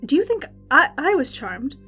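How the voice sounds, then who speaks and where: A woman playing a chatty, excitable and bloodthirsty fantasy arena announcer in an audio drama. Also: sits high, moderate pace. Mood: anxious. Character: gleeful, bloodthirsty female fantasy arena announcer, easily worried. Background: music.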